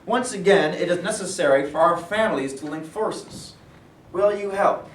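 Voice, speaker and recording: A man acts out a well-born industrialist in a stage play reading, polite on top and menacing underneath. His speech is formal and measured. He is heard from a distance in a noisy, reverberant room. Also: sits high, natural voice. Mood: persuasive. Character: smooth, pressuring well-born steel company man, veiled threats.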